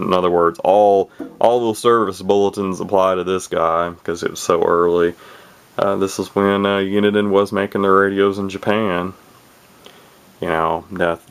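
A man's voice: low-pitched, vocal fry, fairly bright tone. A man casually showing off an old radio on camera, folksy and rambling with lots of fillers and restarts. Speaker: radio hobbyist showing his gear. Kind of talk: casual show-and-tell, off the cuff. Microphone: camera mic, speaker off screen behind the camera.

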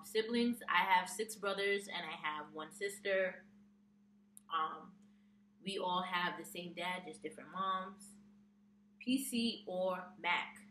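A woman's voice: on the low side, sharp tone. A young woman chatting casually in a tag video, reading questions from her phone and answering with plenty of ums. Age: young adult. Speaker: vlogger. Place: small room with a plain wall, indoors. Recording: echoey room, distant mic, faint hum.

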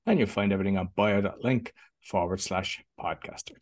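A man's voice: sits low, a little fast. A man hosting a podcast interview over a video call with a headset, asking loose, hesitant questions. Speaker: podcast host. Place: home office with bookshelves, indoors.

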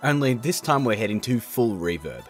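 A man hosting a software tutorial in a casual, chatty, jokey narrating style. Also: medium pitch, sing-song, normal speed, over music. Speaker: tutorial host.

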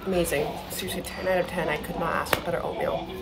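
A young woman in her twenties, slightly hoarse from losing her voice, chatting casually to her phone camera in a vlog. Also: on the low side, fairly even intonation, fast talker, over loud music, poor audio. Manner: chatty, upbeat, lots of fillers. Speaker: vlogger. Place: city streets, airport lounge, resort. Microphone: phone held at arm's length. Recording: loud background noise.

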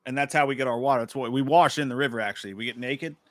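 A man's voice: mid-range voice, very fast, fairly bright tone, no echo, clean studio sound. A man in his thirties hosting a livestream, joking crudely and laughing often while reading viewer messages. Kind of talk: casual livestream chat. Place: home room with shelves. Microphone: desk mic.

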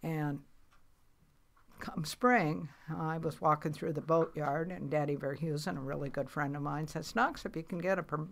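A woman's voice: deep voice, fairly even intonation, moderate pace, gravelly, slight background noise. A woman in her eighties, a veteran commercial fisher, telling her story in a rambling, matter-of-fact way with many restarts. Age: 80s. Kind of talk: casual storytelling interview.

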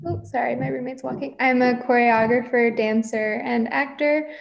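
A woman's voice: a little high, fairly even intonation, moderate pace, vocal fry, a little hiss or hum. A young woman, a performer, casually introducing herself on a video call. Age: young adult. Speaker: choreographer, dancer and actor.

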